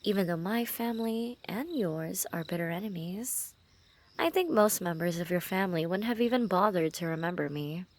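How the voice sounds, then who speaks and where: Hushed, creaky-voiced lovestruck female assassin, acted by a woman. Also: on the low side, expressive, moderate pace. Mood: amused, confiding. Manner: hushed, often dropping into whispers, teasing. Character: lovestruck female assassin, teasing, menacing, softly infatuated. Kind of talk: intimate ASMR roleplay monologue.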